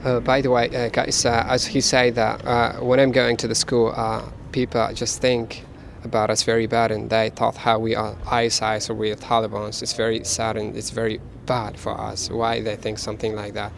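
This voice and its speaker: A man's voice: on the low side, monotone, creaky, a little hiss or hum. A man with an Afghan accent and Dari as his first language, speaking casually as a refugee and interpreter, repeating words for emphasis. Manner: repeats words for emphasis, halting non-native English phrasing. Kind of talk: casual spoken testimony and interpreting.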